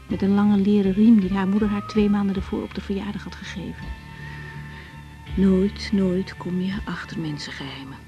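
An actress playing a young woman doctor in a naturalistic radio drama, frank and reflective, speaking in a measured, intimate, sometimes hesitant way. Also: medium pitch, mellow, highs cut off, natural voice. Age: young adult. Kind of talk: naturalistic Dutch radio drama acting with diary monologue.

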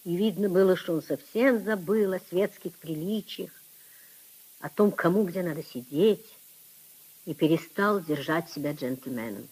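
A woman narrating a literary 1950s radio drama in a measured storytelling manner. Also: on the low side, a little mellow, slightly rough, low-quality recording.

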